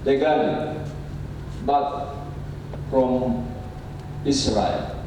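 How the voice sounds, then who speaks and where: An older man, a native Indonesian speaker, giving a closing speech as a professor, pausing often with "uh". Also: mid-range voice. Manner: halting, with frequent "uh" pauses. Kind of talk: speech at a lecture podium. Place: university lecture hall. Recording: echoey hall from far off, noisy.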